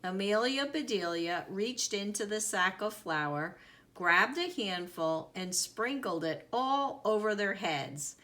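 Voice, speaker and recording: A woman reads a children's story aloud at an unhurried pace. Her voice is bright and her pitch moves in lively swings, with deliberate, dramatic emphasis on key words. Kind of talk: expressive read-aloud narration.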